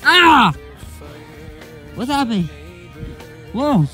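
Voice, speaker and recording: Man doing a high panicky toy hero. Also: sing-song, drawn-out words, faint music behind, full character voice. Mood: panicked. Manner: shouting, high-strung yelps. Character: panicked young plush-toy hero fleeing a collapsing cave.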